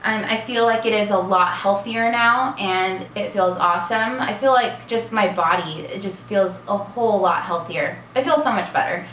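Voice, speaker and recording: Casting: chatty woman in her twenties, vlogger, bright voice, echoey room, faint hiss. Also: mid-range voice, moderate pace, few pauses. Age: 20s. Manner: chatty and bubbly, many fillers. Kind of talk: casual Q&A vlog.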